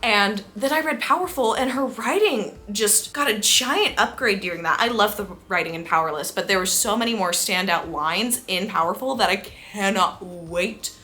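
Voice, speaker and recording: A woman talking casually to viewers as a book vlogger, with a gushing, excitable and emphatic manner. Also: mid-range voice, normal speed, hardly any pauses.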